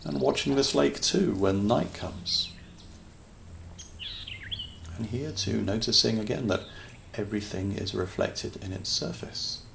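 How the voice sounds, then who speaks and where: Very slow, creaky-voiced man guiding meditation. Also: fairly low pitch, slight background noise. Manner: calm, soothing, with long pauses between short phrases. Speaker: meditation guide. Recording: nature ambience with birdsong behind voice. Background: natural sounds, birds.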